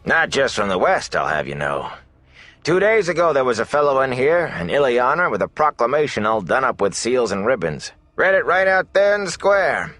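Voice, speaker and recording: A man voicing a chatty, excitable middle-aged innkeeper with folksy, gossipy talk in a fantasy audiobook. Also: mid-range voice, moderate pace, no echo, soft background music, pitched above the performer's own voice. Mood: boastful, gossipy. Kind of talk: fantasy audiobook character dialogue.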